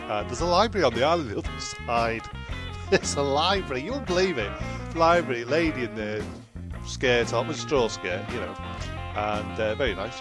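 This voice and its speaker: A man's voice: medium pitch, natural voice. A man playing a cheeky, dim castaway who boasts and rambles in an ad-libbed comedy audio sketch. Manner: rambling, ad-libbing. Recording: music behind, blown-out homemade audio.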